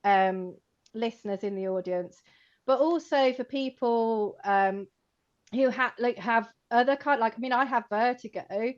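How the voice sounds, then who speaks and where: A chatty woman with a fairly high voice talks through an informal conversation. She is animated and full of fillers, and she laughs as she makes her points. The audio is a little dull and lo-fi. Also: unhurried. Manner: chatty, animated, many fillers like "like" and "you know", laughs while talking. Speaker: co-host, co-owner of a computing education company.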